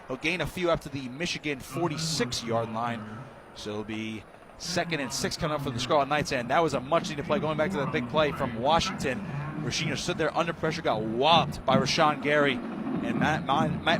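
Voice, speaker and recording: An American man doing live radio play-by-play of a football game in excitable, clipped running descriptions. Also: on the high side, normal speed. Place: press box high above a stadium. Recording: loud stadium noise, outdoor broadcast.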